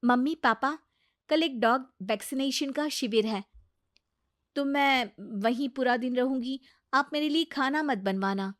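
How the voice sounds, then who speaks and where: A woman voicing a busy, self-important young daughter-in-law in a cartoon story, hurried and dramatic. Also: sits high.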